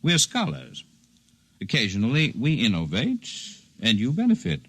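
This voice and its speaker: An actor playing a calm, erudite alien healer in a 1950s radio drama, speaking in formal, precise, gently condescending phrases. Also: male voice, medium pitch, normal speed, breathy edge, exaggerated voice. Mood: courteous, scholarly. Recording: 1950s radio broadcast recording.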